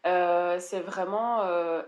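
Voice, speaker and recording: Chatty French woman with a low voice. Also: steady tone, very slow, darkish tone. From France. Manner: chatty, frequent hesitations and restarts. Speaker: interview guest, online seller coach. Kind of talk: casual conversational interview.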